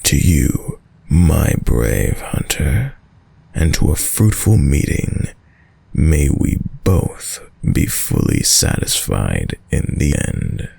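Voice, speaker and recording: A man playing a suave, predatory, dominant vampire lord in an intimate audio roleplay, with a very deep, gravelly voice and a courtly, seductive, menacing manner that lingers over words. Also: very animated, takes it slowly, dark tone, no echo, exaggerated voice. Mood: smug, silky.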